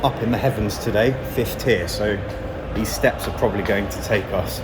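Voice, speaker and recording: A man's voice: lowish voice, moderate pace, loud music behind the voice. A man giving casual, sweary, sardonic football vlog commentary as a travelling fan, talking into a handheld camera. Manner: grumbling, sardonic, informal and sweary. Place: outdoors around and inside a large stadium. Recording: loud outdoor city and stadium noise.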